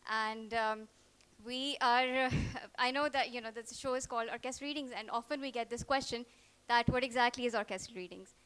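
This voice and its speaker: A woman presents a live stage show. Her voice is fairly high and smooth, and she talks chattily, circling back over phrases. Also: normal speed, fairly bright tone.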